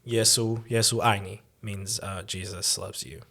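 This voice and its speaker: Young guy, deep flat voice, lots of ums and restarts, casual interview. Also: a little slow, creaky, breathy edge. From raised in Taiwan, born in the United States. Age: young adult. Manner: hesitant, many ums and restarts. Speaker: church intern, guest.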